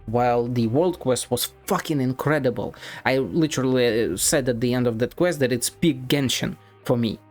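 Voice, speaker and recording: A man streaming a game and giving casual, critical commentary, blunt and sweary, in halting, repetitive bursts. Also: mid-range voice. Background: game music.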